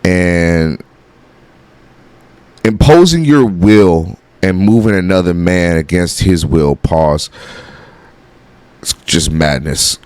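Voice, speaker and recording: A man's voice: sits low, slow pace, long pauses, smooth, no echo. A man hosting a football reaction video in casual, blunt talk that is peppered with swearing. His voice is nasal and congested from a sinus infection, and his throat is sore. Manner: blunt, excitable, swears freely. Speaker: football reaction host and coach.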